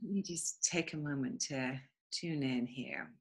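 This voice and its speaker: Woman, English accent, low pitch, husky, earnest, reflective. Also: measured pace. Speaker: guest speaker. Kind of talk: spiritual interview talk.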